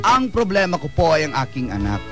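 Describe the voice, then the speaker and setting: A man's voice: fairly high pitch, very animated, brisk. A man reading a listener's letter as a dramatic, scripted radio narration. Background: prominent music.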